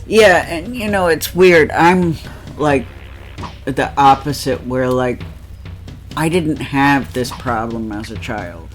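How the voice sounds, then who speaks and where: Nasal guy co-hosting a let's play, talks slow and rambly, some music in the back. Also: medium pitch, animated. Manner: rambling, laughs often, many fillers. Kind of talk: casual chat over gameplay.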